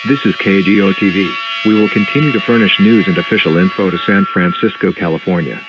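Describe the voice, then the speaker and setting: A man playing a solemn, official emergency alert announcer, reading formal, measured scripted notices. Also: low voice, music in the background, close to an everyday voice. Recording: muffled lo-fi broadcast audio.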